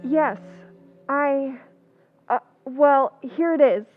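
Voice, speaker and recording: An actress playing Kathy, a distraught young sister, with sobbing outbursts in a radio drama. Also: female voice, high-pitched, very slow, faint music behind. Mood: nervous. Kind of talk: 1940s radio acting. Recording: tinny narrow-band recording.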